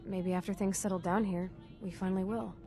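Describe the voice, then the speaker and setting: A woman's voice: mid-range voice, steady tone, brisk, mellow, lightly acted. A woman playing a weary, tough young vault dweller in a video game, blunt and informal as a protective sister. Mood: relieved, determined. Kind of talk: video game dialogue acting. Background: game music.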